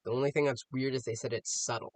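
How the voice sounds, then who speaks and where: Male reaction host, medium pitch, dry sound, unscripted and conversational, reasons things out as he talks. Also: steady tone, fast talker, no echo. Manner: musing, thinking aloud with rhetorical questions. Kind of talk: casual off-the-cuff reaction commentary.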